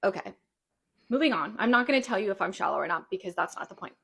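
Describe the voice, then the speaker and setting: A woman's voice: mid-range voice, expressive, fast talker, gravelly, fairly bright tone, breathy edge. A woman in her late twenties telling a personal story in casual chat, with an emphatic, indignant manner. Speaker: storyteller recounting a personal experience.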